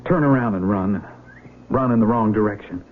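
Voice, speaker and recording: A man's voice: on the low side, moderate pace, close to an everyday voice. Eager country-boy soldier played by a man, tinny radio. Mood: uneasy, pondering. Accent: rural American. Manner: folksy, enthusiastic, drawling country talk. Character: eager, boyish young rural Union Army volunteer. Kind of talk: 1970s American radio drama acting. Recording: noisy, tinny vintage radio broadcast recording.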